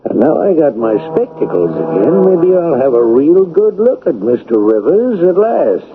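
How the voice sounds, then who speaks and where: An actor in a radio drama playing an older, protective husband, homely and hesitant, given to mild scolding. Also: male voice, fairly high pitch, big pitch swings, moderate pace, narrow, tinny audio. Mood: grumbling, curious. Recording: thin, noisy vintage radio recording.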